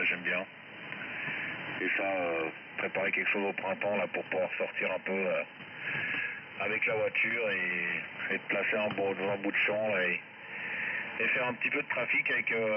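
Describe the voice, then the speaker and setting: Man, Swiss French accent, casual rambling radio chat, muffled narrow shortwave sound, very loud noise. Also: fairly low pitch. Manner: rambling, conversational. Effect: shortwave radio transmission. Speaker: amateur radio operator. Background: loud radio static noise.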